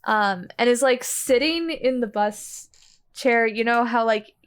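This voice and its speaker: A woman's voice: fairly high pitch, unhurried, smooth. A woman playing a tabletop roleplaying game, casually improvising a description of what her character does. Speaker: player in a tabletop roleplaying podcast.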